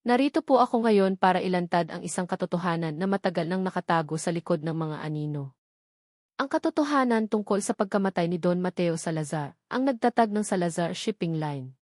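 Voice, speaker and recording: A woman's voice: on the low side, animated, fairly quick, darkish tone, dry recording, studio quality, natural voice. A woman with a Filipino accent voicing a determined male lawyer making a formal address in a story. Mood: resolute. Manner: formal, resolute.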